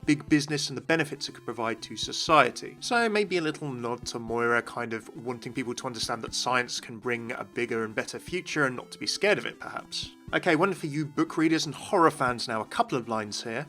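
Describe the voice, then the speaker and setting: A man presents a scripted video game explainer. His voice is bright and keen, pitched in the middle, and he addresses viewers directly over faint background music. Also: a little fast, few pauses. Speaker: video host, game lore commentator.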